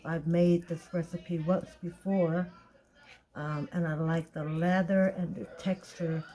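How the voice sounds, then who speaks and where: Slow, raspy-voiced woman making soap. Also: deep voice, warm tone, poor audio. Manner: slow, chatty, thinking aloud while weighing. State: tired voice, clearing throat. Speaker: soap maker. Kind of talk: casual step-by-step tutorial.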